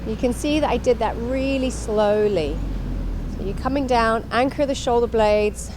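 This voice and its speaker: A woman's voice: a little high, normal speed, background noise. A woman instructor talking through an exercise demonstration in an instructional way, repeating her cues as she goes.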